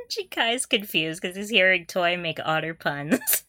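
Giggly, bright-voiced woman with animated pitch. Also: no room sound, clean studio sound. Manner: giggly, often breaking into laughter. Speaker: podcast co-host. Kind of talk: casual fan podcast recap.

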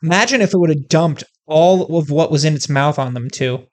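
A video host delivering a comedic rant straight to the viewers, with a middle pitch. The speech is profane and emphatic, and the talk has an animated, worked-up energy. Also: fairly quick.

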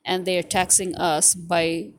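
A woman's voice: lowish voice, flat delivery, takes it slowly, slight background noise. A woman giving unscripted political commentary in an indignant, scornful manner, driving points home with emphatic rhetorical questions.

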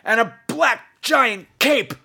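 A man's voice: extremely high pitch, animated, slow pace, creaky, pushed and bright, husky. A man hosting a podcast, telling comic personal stories in a campy, exaggerated theatrical style with salty, sassy asides. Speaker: podcast host.

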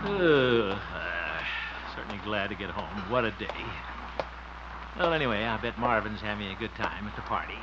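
An actor playing a blustering, soft-hearted middle-aged man in a 1950s radio comedy, with muttered asides and nervous giggles. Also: on the low side, animated, normal speed, lightly acted. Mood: tired, softened. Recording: muffled, very noisy old radio recording.

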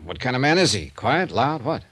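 An actor playing a brusque, hard-boiled investigator in 1950s radio drama style, speaking in blunt, clipped, pressing phrases. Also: male voice, medium pitch, very animated, normal speed, fairly bright tone, slightly rough, dry recording. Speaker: lead actor and narrator of a detective series.

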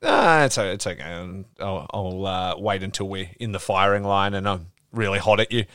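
A man's voice: low-pitched, animated, normal speed, a crowd in the background. A man hosting a podcast, teasing his co-host in casual banter over a video call. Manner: joking, teasing, swears casually.

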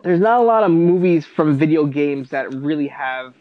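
A man's voice: fairly high pitch, lively intonation, moderate pace, narrow, tinny audio. A man co-hosting a podcast, chatting casually about films. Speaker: podcast co-host.

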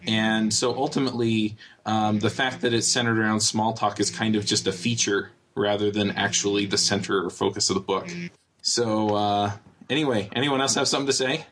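A man hosting a casual panel podcast, talking in a relaxed, rambling way with filler words. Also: fairly low pitch, moderate pace. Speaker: podcast host.

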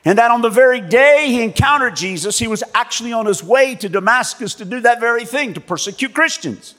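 A man preaching a sermon as pastor and missionary, telling stories with animated delivery and acting out the people in them. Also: very high-pitched, fairly quick. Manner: animated storytelling preacher, acts out voices in quotes. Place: church. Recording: long reverb of a church hall.

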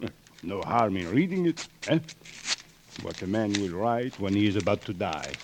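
An actor playing a courteous, sentimental older Spanish prison governor, hesitant and bumbling, in 1940s radio style. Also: male voice, fairly low pitch, lively intonation, moderate pace, close to an everyday voice. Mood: genial. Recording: faintly noisy old radio recording.

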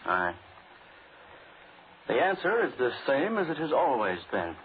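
Theatrical male radio player, mid-pitched and animated, on scratchy narrow old recording. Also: a little slow. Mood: melodramatic. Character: radio actor rehearsing a melodramatic victim role. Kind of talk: 1940s radio acting.

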